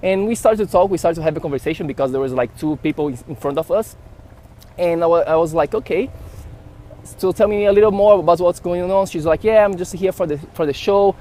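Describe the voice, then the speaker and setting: A young man from Brazil with a Brazilian Portuguese accent, telling a story to camera in a casual, animated way and acting out the dialogue. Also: brisk. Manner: animated, acts out dialogue with rising pitch. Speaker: health vlogger. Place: outdoors in a city. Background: traffic noise.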